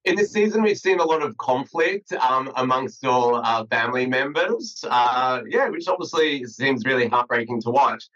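A man's voice: mid-range voice, lively intonation, fairly bright tone. Australian man with muffled call audio. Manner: halting, many fillers and restarts. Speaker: podcast host. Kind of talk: interview by video call.

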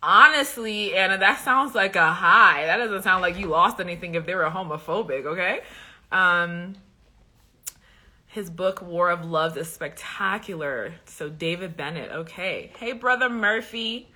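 A woman from Liberia hosts a live stream, talking in a loose, upbeat way straight to the people watching. She fills her talk with ums. Her voice is bright and clear. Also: lowish voice, a little slow. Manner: chatty, upbeat, talks straight to viewers, many ums. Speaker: podcast host and coach. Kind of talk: casual live-stream chat.

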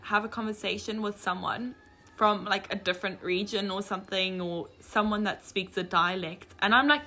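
A young woman talks with her co-host in an easygoing podcast. Her voice is bright and clear, and her sentences wander and correct themselves as she goes. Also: mid-range voice, moderate pace. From South Africa. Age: young adult. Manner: rambling, self-correcting. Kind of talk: casual chat.

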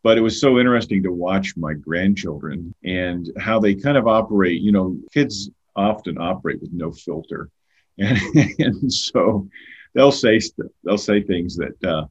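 A man's voice: deep voice, animated, unhurried, slightly rough. An older man talking earnestly as a podcast guest, often repeating words as he starts a thought. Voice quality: loud voice. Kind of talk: conversational podcast talk. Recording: dull lo-fi sound.

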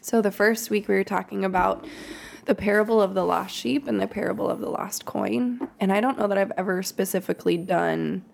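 A woman's voice: mid-range voice, brisk, creaky, a little mellow, slight background noise. A woman, a children's pastor, talking casually on a podcast and reading scripture aloud, with ums and laughing asides. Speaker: kids' pastor. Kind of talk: casual podcast Bible study.

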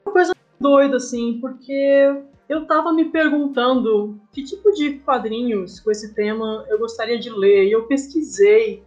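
Podcast guest: Brazilian woman, higher-pitched voice, casual storytelling with slang, faint music underneath. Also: measured pace, a little mellow, lo-fi sound. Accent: Brazilian Portuguese. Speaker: guest, comic artist.

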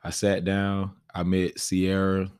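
A deep-voiced American man, a stage actor, talks casually about his experiences. He speaks in slangy, conversational style, often checking that the listener follows. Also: monotone, takes it slowly, a little mellow, clean tone. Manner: slangy, often says "you know what I'm saying".

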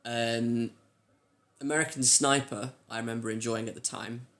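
A man with an average-pitched voice chats as co-host of a pop culture show. His delivery is informal and conversational, and he phrases his views tentatively. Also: steady tone, moderate pace, a bit bright. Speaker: podcast co-host. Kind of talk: casual pop culture chat.

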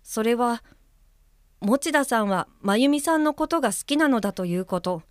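An actress playing an earnest young caregiver. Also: female voice, a little high, lively intonation, moderate pace, natural voice. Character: earnest young female guide-helper, polite and conscientious. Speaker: caregiver reading a letter aloud. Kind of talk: radio drama acting. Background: music under the voice.

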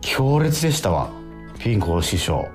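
A man's voice: lowish voice, big pitch swings, normal speed, soft background music. A man hosting a radio show in casual chat, with frequent backchannels and chuckling asides.

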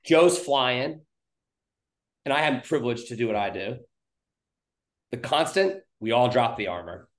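A man speaks in a medium-pitched voice as a podcast host. His delivery is casual, blunt and loose, as if thinking aloud. The sound is noticeably echoey, like an untreated room. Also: animated, unhurried, long pauses.

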